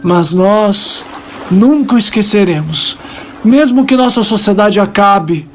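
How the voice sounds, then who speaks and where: A woman voicing a devout small creature, telling its tale in solemn, reverent, dramatic narration with deliberate pauses. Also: medium pitch, slight background noise, lightly acted. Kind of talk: dramatic first-person scripted narration.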